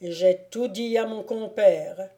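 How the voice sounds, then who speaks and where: A woman's voice: medium pitch, slow, warm tone, natural voice. A woman voicing a courtly, self-assured male lord who spins tales, in a storybook reading. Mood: sly, unruffled.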